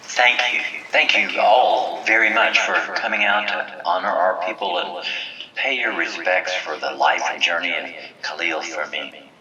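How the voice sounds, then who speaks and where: A deep-voiced, solemn community leader. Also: male voice, pushed and bright, roomy sound, recorded from a distance, slight background noise, full character voice, rough recording. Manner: measured, preachy, commanding oratory. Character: stern, solemn village leader presiding over a memorial and judgment. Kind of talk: formal speech in an audio drama.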